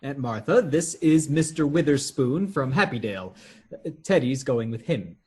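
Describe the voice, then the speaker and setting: An actor playing an anxious nephew who stammers and placates everyone, flustered, in a radio theatre comedy. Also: male voice, mid-range voice, lively intonation, moderate pace, background noise, lightly acted. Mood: nervous, pleading. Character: flustered, anxious nephew desperately managing his mad family.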